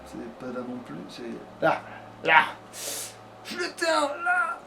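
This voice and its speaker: A man's voice: high-pitched, huge pitch swings, slow pace. A man casually chatting and muttering to himself with swearing while playing a video game as a let's play host. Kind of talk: casual gaming chat. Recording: clear background noise on a home recording.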